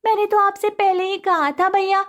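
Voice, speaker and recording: A sensible sister from an animated village tale, acted by a woman. Her voice is extremely high and squeaky. She speaks reproachfully, like someone scolding family. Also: moderate pace. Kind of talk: Hindi animated moral story dialogue.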